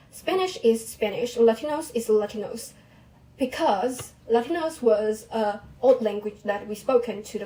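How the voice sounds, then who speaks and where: A young woman whose first language is Mandarin Chinese, talking casually about her own experience in halting English with restarts. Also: fairly high pitch, dark tone, low-quality recording. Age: young adult. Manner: halting non-native English with restarts and small laughs. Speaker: vlogger. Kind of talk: casual personal monologue.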